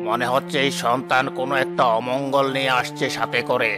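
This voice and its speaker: A man playing a grave, solemn holy sage in a fairy tale cartoon, speaking slowly. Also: medium pitch, flat delivery, over music, close to an everyday voice. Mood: grave, foreboding. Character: grave holy sage giving ominous prophecy to a king. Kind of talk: fairy tale animation voice acting.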